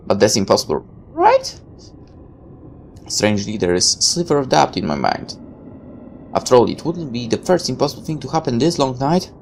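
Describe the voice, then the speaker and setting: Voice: mid-range voice, gravelly, slight background noise. A person reading a visual novel aloud with casual asides, now and then stumbling over a line and correcting it.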